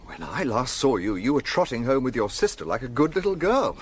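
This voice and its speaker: An actor playing an earnest, gallant and slightly flustered young gentleman in a radio drama. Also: medium pitch, very animated, brisk, slight background noise, natural voice. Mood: teasing, surprised.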